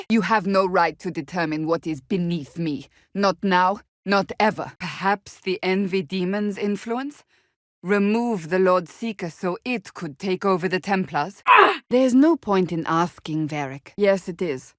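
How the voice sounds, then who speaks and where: An actress playing a stern, blunt, devout warrior woman in a fantasy video game, speaking formally and clipped with a deadpan edge. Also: medium pitch, dry recording, studio quality, natural voice. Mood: fierce, exerted.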